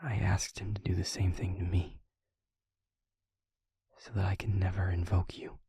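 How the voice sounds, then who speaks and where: Casting: a man to play a gentle, protective vampire boyfriend for audio roleplay; low, soft, husky near-whisper, earnest and intimate. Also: no echo, heavily acted. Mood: grave, confessional. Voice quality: breathy, husky, half-whispered. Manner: slow, halting, tender, earnest delivery. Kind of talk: intimate audio roleplay, ASMR-like scripted acting.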